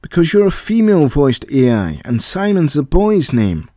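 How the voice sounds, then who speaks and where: A man's voice: on the high side, very animated, moderate pace, dark tone, dry recording, studio quality, voice pushed up. A man voicing a proud young boy inventor, easily irritated, in an audio story. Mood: weary.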